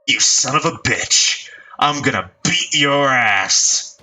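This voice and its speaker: A man voicing a hot-tempered young male gang leader who shouts and snarls threats. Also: mid-range voice, big pitch swings, normal speed, breathy edge, voice pushed up, exaggerated voice, poor audio. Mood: snarling.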